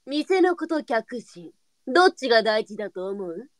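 A woman playing a shrewd, sharp-tongued, brisk brothel madam in a period audio drama. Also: on the high side, sing-song, dry recording, clean studio sound. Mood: brusque, exasperated. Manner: blunt, switches to formal politeness with guests.

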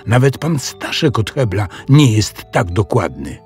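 A man's voice: fairly low pitch, sing-song, measured pace, a little mellow, faint music behind. A man narrating a children's audio story as a scripted storyteller.

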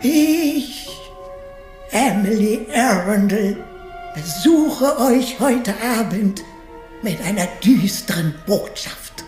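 A woman's voice: medium pitch, lively intonation, slow pace, a little mellow. A woman playing an eccentric old spiritualist lady who speaks theatrically and portentously in a radio mystery. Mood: ominous. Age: older. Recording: roomy echo, music underneath.

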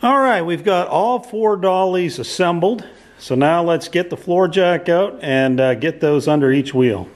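A man's voice: fairly high pitch, a little slow. Folksy middle-aged man in a barn. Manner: relaxed, folksy, frequent "uh" fillers. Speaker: channel host reviewing a product. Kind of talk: casual how-to vlog narration. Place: large pole barn workshop, indoors.

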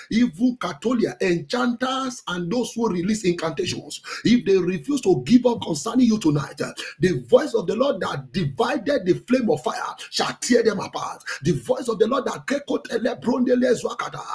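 Man, high-pitched voice, Nigerian accent, pastor, fervent prayer preaching, insistent, repetitive chanting delivery. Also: a little mellow. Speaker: pastor leading online prayer meeting.

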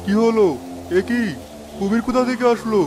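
A man's voice: extremely high pitch, fairly even intonation, moderate pace, noisy background. A man acting a determined, protective village fisherman father in a cartoon drama, with an emphatic delivery. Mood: desperate. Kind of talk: dramatic Bengali animated story acting. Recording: faint rain and storm ambience.

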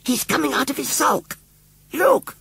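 A man's voice: extremely high pitch, a little slow, vocal fry, pushed and bright, pitched above the performer's own voice, heavily acted. A man voicing an earnest, indignant young boy who protests bluntly in a children's story. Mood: excited.

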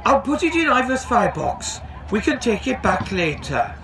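A man voicing a friendly, excitable engine driver from a children's storybook, with eager exclamations. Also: high voice, normal speed, vocal fry, a crowd in the background, pitched above the performer's own voice, heavily acted. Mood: brisk.

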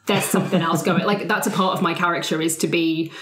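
A woman casually talks through her game character. Her voice is a little lower than most women's. The sound is roomy, with audible echo. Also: fairly even intonation, fast talker, fairly bright tone. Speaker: roleplay player.

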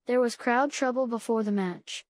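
Higher-pitched woman reading test sentences. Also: moderate pace, no echo, studio quality. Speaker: test prompt reader. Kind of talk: scripted example sentences read aloud.

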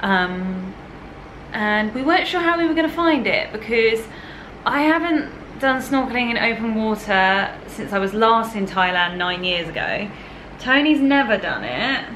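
A woman's voice: on the high side, very animated, measured pace, a bit bright. A young woman chatting casually to a handheld camera as a travel vlogger, laughing often. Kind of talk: casual vlog chat. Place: outdoors on a pier, then a hotel room. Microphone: handheld camera at arm's length. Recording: noisy handheld camera audio.

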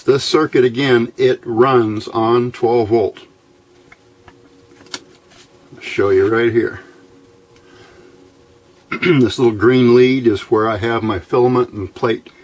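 A man giving an informal hands-on electronics tutorial in a plain-spoken, halting way, recorded at an indoor workbench. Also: medium pitch, darkish tone. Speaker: electronics hobbyist demonstrating a build.

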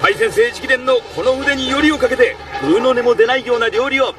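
An actor playing a brash, boastful and loyal restaurant cook in a cartoon. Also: male voice, very high voice, a little fast. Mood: cocky. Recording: distant sound with music.

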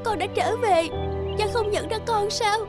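Affectionate cartoon daughter, gentle, very high voice, loud music behind. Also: female voice, a little slow. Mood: emotional, loving. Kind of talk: cartoon voice acting.

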